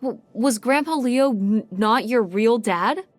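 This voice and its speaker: An actress voicing a curious, eager teenage daughter on a road trip in a video game, talking in quick, insistent bursts of questions. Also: female voice, fairly high pitch, lively intonation, no echo, soft background music. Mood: excited.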